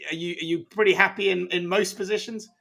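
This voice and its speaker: A man asking a guest measured interview questions as a podcast co-host. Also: high-pitched, fairly even intonation, gravelly, sharp tone.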